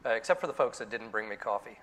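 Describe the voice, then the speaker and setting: A man's voice: on the low side, expressive, rapid-fire, fairly bright tone, slight background noise. A man giving a casual, joking introduction at a conference talk. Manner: jokey, casual, makes puns. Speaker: conference speaker.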